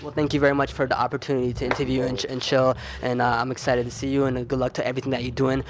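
A man interviewing casually as a podcast host, informal and a little halting as he puts his questions. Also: mid-range voice, little pitch movement, crowd noise.

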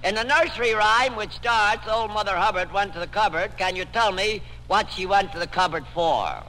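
A man's voice: high-pitched, moderate pace, bright, forceful tone. A man playing a sarcastic, long-suffering quizmaster in an old-time radio comedy quiz, sardonic and exasperated. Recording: noisy old radio broadcast, echoey hall.

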